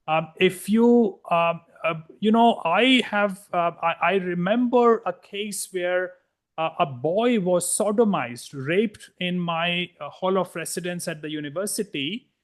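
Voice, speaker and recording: Middle-aged guy from india, fairly high voice, blunt and emphatic, lots of restarts. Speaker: guest. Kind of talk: conversational interview.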